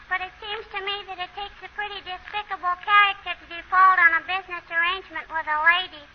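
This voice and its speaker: A tiny, very high voice: a pestering little girl with a precocious way of talking. It is old radio comedy on a muffled, slightly noisy recording. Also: little pitch movement, quick pace. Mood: indignant. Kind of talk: 1930s radio comedy acting.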